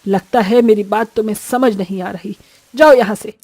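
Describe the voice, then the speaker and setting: A woman's voice: medium pitch, moderate pace, dark tone, no room sound, natural voice. A woman voicing an imperious, contemptuous middle-aged mother-in-law in a cartoon story. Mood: scornful, bossy. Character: haughty, scolding rich mother-in-law in a cartoon. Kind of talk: Hindi cartoon morality story acting.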